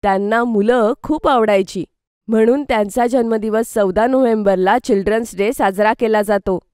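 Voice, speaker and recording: A woman voicing a gentle, encouraging mother in a children's cartoon story, soothing and explanatory. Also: on the high side, normal speed, clear, resonant tone, no echo, clean studio sound, natural voice. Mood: gently explaining.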